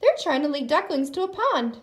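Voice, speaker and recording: A woman reading a children's story aloud in an expressive narrating style. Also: high voice, a little fast. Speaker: storybook reader.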